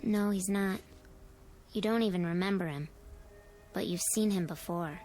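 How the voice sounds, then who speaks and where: A woman voices an eerie, knowing child in a radio drama. The voice is medium-pitched for a woman, blunt and insistent, with faint music behind it. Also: normal speed, a little mellow, lightly acted.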